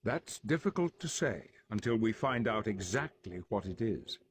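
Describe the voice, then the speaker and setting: A man playing a calm, authoritative professor leading a team of heroes in a video game, measured and formal. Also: on the low side, noisy background. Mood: briefing. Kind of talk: video game cutscene acting.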